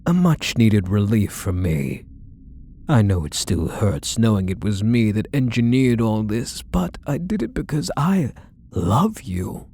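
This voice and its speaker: Casting: male actor for doting yet controlling yandere kidnapper, intimate ASMR roleplay, soft endearments, dark mellow tone, unhurried. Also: fairly low pitch, very animated, husky, heavily acted. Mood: calm menace. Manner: soft, coaxing, condescending pet-name endearments.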